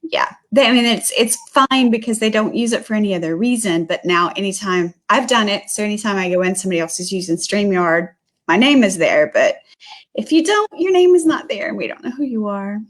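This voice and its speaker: Woman, from South Georgia, livestream host, mid pitch, chatty, friendly, lots of fillers. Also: lively intonation, a little fast. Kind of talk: casual livestream interview.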